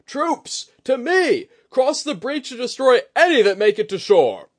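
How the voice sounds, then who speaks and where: A man's voice: extremely high pitch, sing-song, measured pace, voice pushed up, exaggerated voice. A man voicing a battle-hungry, contemptuous military commander in a fantasy audiobook, roaring, growling and barking. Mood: battle fury.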